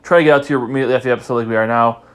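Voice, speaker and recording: A man's voice: medium pitch, fairly even intonation, racing, clean tone. A stuffy, congested man hosting a casual podcast recap, rambling and repeating himself as he thinks. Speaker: podcast host.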